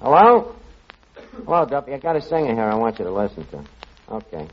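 Fast-talking new schemer, slangy, lively swinging pitch, old radio sound. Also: male voice, slightly rough, highs cut off. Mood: scheming. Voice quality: streetwise slangy patter, mangled grammar. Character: wisecracking scheming male tavern manager. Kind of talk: 1940s radio comedy acting. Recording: old radio broadcast, studio audience.

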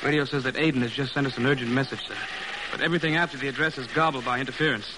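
An actor playing a brisk, deferential ship's officer reporting to his captain in an old radio drama. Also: mid-range voice, steady tone, natural voice. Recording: noisy old radio broadcast recording.

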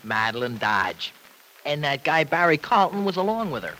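Bright, breezy, excitable young fellow. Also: male voice, a little high, normal speed, poor audio. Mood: curious, eager. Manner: slangy, eager. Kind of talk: 1940s-50s radio soap acting. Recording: old radio recording, faint noise.

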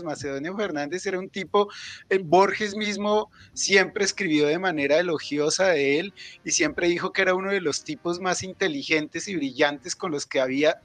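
A man's voice: on the high side, a bit bright. A middle-aged man discusses literature as a guest author, explaining discursively with many hesitations. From Colombia. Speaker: author, guest at a book presentation.

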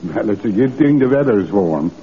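Man playing droll family friend, mangled idioms, lively intonation, tinny vintage recording. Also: fairly low pitch, moderate pace, slight background noise, lightly acted. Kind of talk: old-time radio comedy acting.

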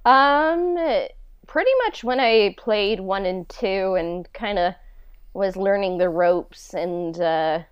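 A woman's voice: medium pitch, takes it slowly, smooth, no echo, muffled recording. A woman podcaster answering interview questions in casual, conversational storytelling. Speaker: podcast co-host being interviewed. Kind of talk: casual chat, telling personal stories.

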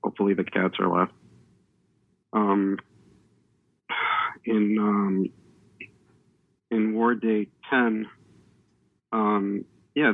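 A man's voice: lowish voice, dry recording. A man on a panel, talking in an earnest, rambling way with many restarts and "you know". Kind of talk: panel discussion. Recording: tinny phone-like line.

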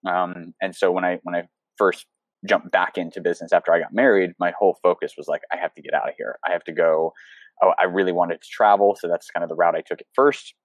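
A man's voice: deep voice, steady tone, fast, no echo, rough recording. A young man, a photographer and coach, talking casually as an interview guest over a video call, rambling with many fillers and restarts. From United States.

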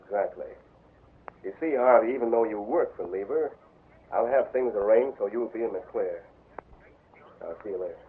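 An actor playing a smooth, scheming gambler villain with a suave manner, in a 1940s radio drama. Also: male voice, lowish voice, expressive, normal speed, long pauses, natural voice. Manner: suave, crafty. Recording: tinny old radio broadcast.

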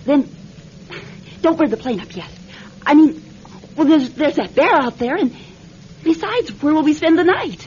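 A woman's voice: high voice, lively intonation, a little slow, long pauses, darkish tone. An actress playing an anxious stranded woman in a radio drama, speaking in a naturalistic, hesitant, pleading way. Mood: hesitant, urgent. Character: anxious stranded young woman, a secretive money courier. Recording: dull, noisy radio drama recording.

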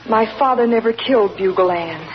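An actress playing an earnest, remorseful young country woman who speaks softly and stammers. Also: on the high side, brisk, lightly acted. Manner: hesitant, stammering, soft-spoken. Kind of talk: 1940s radio acting. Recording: dull 1940s radio broadcast, faint hiss.

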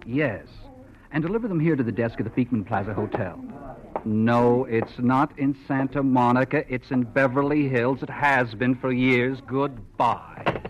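A man's voice: mid-range voice, a little slow, slight background noise, lightly acted. A man acting a fussy hotel desk clerk in a 1940s radio drama, affected, precise and fluttery. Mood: exasperated. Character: prissy, fussy male hotel desk clerk, easily exasperated. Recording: muffled vintage radio recording.

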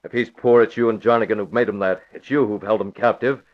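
A man's voice: on the low side, steady tone, quick pace, natural voice. An actor playing a masked male vigilante who talks in curt, menacing orders, in 1940s radio acting style. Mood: stern. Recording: dull old radio recording.

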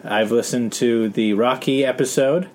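A man's voice: medium pitch, normal speed, a little mellow. Earnest, rambling male podcast host. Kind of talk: casual comedy banter.

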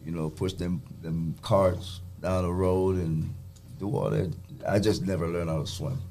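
A man from Jamaica, a guest on a radio morning show, telling a story casually and acting out quoted lines with loud high bursts. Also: fairly even intonation, a little slow.

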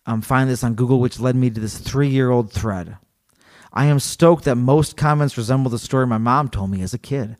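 A man's voice: mid-range voice, moderate pace. Joking male podcast host reading aloud. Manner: animated, joking, laughs often. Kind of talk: casual chat, reading a story aloud.

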